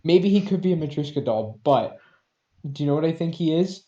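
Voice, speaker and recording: Mellow man bantering in an echoey room. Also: a little high, animated, fairly quick, dull audio. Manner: jokey, acts out bits. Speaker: podcast co-host. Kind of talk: casual comedic banter. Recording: roomy, echoing sound.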